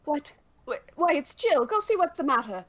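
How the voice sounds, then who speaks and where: A worried, practical wife and mother, played by an actress in an old-time radio play. Her voice is on the high side and very animated in pitch. The audio is slightly dull. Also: normal speed, no echo. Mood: alarmed. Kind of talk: vintage radio drama acting.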